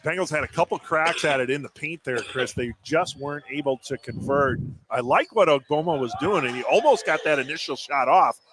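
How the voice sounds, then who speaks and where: A man's voice: on the high side, a little fast. A man giving live basketball analysis as a color commentator. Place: indoor basketball gym, courtside. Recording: clearly noisy live gym broadcast. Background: clear gym noise.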